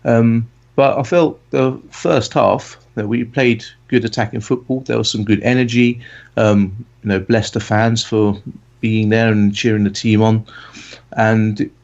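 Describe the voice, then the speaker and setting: A man's voice: fairly low pitch, steady tone, a little slow, creaky, a little mellow. A man in his late thirties from England, co-hosting a casual football podcast, talking in a rambling, hesitant way full of ums. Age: 30s. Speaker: podcast co-host. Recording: dull lo-fi audio.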